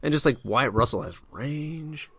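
A man co-hosting a podcast, talking as an excitable, enthusiastic fan in casual chat. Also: medium pitch, unhurried, breathy edge, no room sound. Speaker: podcast co-host.

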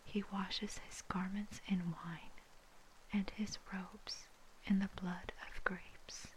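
A woman reading scripture in a soft, breathy, unvoiced whisper, ASMR style, with measured phrasing. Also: mid-range voice, flat delivery, rough recording. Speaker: scripture reader.

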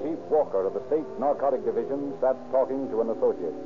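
A man's voice: medium pitch, fast talker. A man announcing in formal, emphatic, polished 1930s radio style, on an old narrow-band recording. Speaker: announcer and narrator. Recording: thin 1930s radio recording, music heard.

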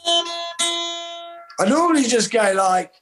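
A man's voice: extremely high pitch, drawn-out words, music in the background. Laid-back man, rambling musician. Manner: relaxed, rambling, self-deprecating. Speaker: musician guest. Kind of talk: casual interview chat.

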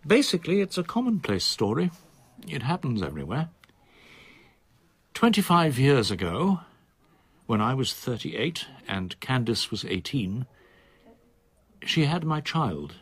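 Solicitor in his sixties, radio drama, husky voice, expressive pitch, formal, reserved, measured. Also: full character voice. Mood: quietly confiding. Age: 60s. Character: reserved older male solicitor, in his sixties, quietly confiding.

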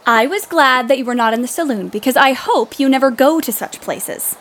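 A woman's voice: sits high, fairly quick, a bit bright. A woman playing a spirited, blunt tomboyish young writer in a period audio play, earnest and emphatic, with playful moments. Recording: noticeable background noise.

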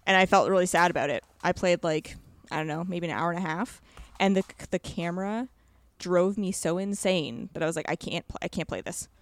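A woman with a slightly low voice in a casual podcast chat. She is enthusiastic and emphatic, talking conversationally. The sound is dry, with very little room. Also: a little fast, dry recording. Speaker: podcast co-host discussing video games. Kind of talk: casual chat, game review.

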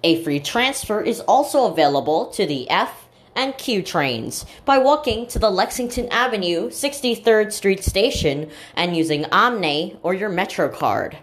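Slow, melodic woman making train announcements. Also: mid-range voice, animated. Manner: formal, measured, list items set apart by pauses. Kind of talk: scripted transit announcements.

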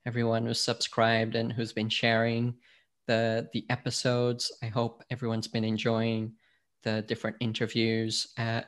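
A man from Portugal, a podcast host now being interviewed, talking casually with many fillers and restarts. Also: medium pitch.

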